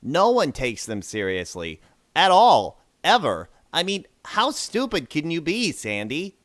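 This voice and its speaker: A man's voice: fairly high pitch, wildly swooping pitch, unhurried, a bit bright, full character voice. A man acting in an audio drama as a skeptical, sarcastic male mole worker who talks in a teasing, dismissive way. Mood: mocking.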